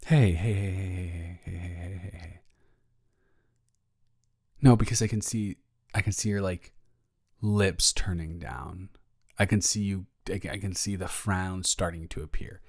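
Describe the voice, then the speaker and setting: A young man playing a caring, flustered roommate in an intimate roleplay audio, rambling and stammering as he gently reassures a friend. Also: sits low, fairly even intonation, unhurried, gravelly, long pauses, dry recording, clean studio sound, full character voice. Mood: apologetic, tender. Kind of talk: improvised intimate roleplay audio, one-sided conversation.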